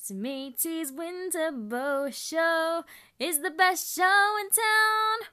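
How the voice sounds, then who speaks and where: A woman's voice: very high-pitched, bright, forceful tone. A woman performing fan-made cartoon character lines, half sung and half spoken, in a cutesy way. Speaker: amateur voice actor.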